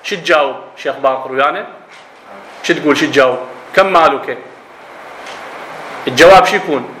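A man's voice: on the high side, a little fast, fairly bright tone, reverberant, slight background noise, poor audio. A man with an Iraqi accent teaching a grammar lesson, explaining and quizzing his students, slipping into dialect. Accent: Iraqi Arabic. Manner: explanatory, asks students questions, slips into dialect. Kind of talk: classroom lesson on Arabic grammar.

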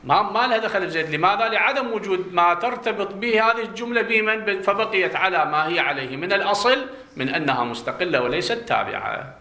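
A man's voice: high-pitched, animated, sharp tone. A man with an Iraqi Arabic accent teaching grammar in a classroom lecture. He asks the class questions and answers them himself, drawing out key words for stress. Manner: drawn-out stressed words when explaining terms.

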